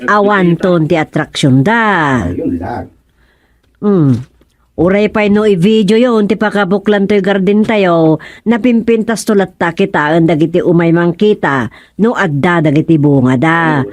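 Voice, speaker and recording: An actress playing a proud, easily amazed village gardener in a radio comedy drama. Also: female voice, lowish voice, measured pace, no room sound, close to an everyday voice. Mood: musing.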